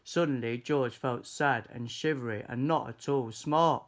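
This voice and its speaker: A man reading a children's story aloud in an expressive, deliberate, animated way. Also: mid-range voice. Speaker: storybook reader.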